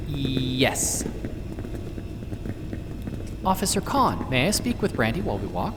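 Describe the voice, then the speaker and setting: Woman playing older male commander, teasing banter, very lively pitch, long reverb, background noise. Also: exaggerated voice. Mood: friendly. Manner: playful, teasing, quick banter.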